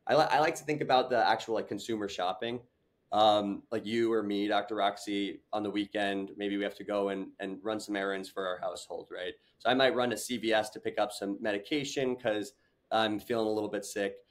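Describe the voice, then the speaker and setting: A man's voice: lowish voice, steady tone, brisk. A young man, a startup founder, talking earnestly on a video call interview, with many fillers and restarts. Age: young adult. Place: home room with large window.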